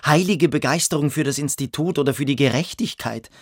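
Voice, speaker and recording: An actor playing a haughty, domineering boarding school pupil in a radio play, sardonic and commanding in manner. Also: male voice, on the high side, fairly quick, husky, dry recording, clean studio sound. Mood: mocking, dismissive. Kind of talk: literary radio play acting.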